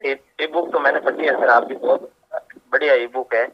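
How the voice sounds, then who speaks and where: A man's voice: mid-range voice, steady tone, normal speed, slight background noise. A man speaking deferentially and hesitantly as a caller on a phone conversation. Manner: deferential, hesitant, often says sir. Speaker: caller, job aspirant. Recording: dull lo-fi phone call recording.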